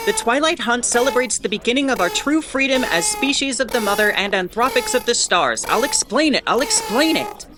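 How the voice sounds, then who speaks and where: A woman playing a weary, sardonic starship broadcaster in an audio drama, giving history in a deliberate, grudging, pointed way. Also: mid-range voice, fairly bright tone. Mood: flustered, resigned. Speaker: ship's bulletin broadcaster. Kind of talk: in-character educational monologue, audio fiction.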